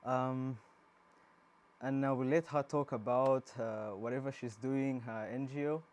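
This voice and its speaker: A man's voice: mid-range voice, fairly even intonation, a little slow, darkish tone. A man from Rwanda hosting an interview, asking loose, rambling questions with frequent restarts. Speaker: interview host. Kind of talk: casual interview.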